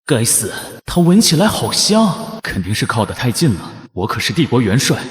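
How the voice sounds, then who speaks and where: A man's voice: mid-range voice, very animated, moderate pace, natural voice. A man playing a proud, stern and suspicious merman marshal in a fantasy drama, speaking formally and easily flustered. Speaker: voice actor in a fantasy short drama.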